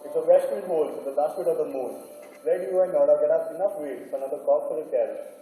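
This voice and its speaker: A man's voice: fairly high pitch, fast, mellow, soft background music, natural voice. A man playing a determined, stubborn young island son in a stage play. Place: stage in a hall, distant microphone. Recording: very echoey hall, far mic, noisy.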